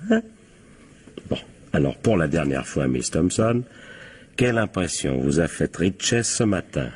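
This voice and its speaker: An actor playing a sardonic police captain in a French radio crime drama, husky and colloquial, prodding with short tag questions. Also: male voice, sits low, sing-song, unhurried, darkish tone, full character voice. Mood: pressing, stern. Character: male police captain, insistent, sardonic interrogator.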